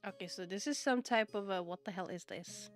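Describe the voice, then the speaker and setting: A woman's voice: mid-range voice, fairly quick, soft background music. A woman from the Czech Republic with a Czech accent, a Czech native speaker chatting casually with her stream audience, laughing often and repeating "okay?" to drive her points home. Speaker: VTuber streamer. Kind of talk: casual livestream chat, answering viewer chat.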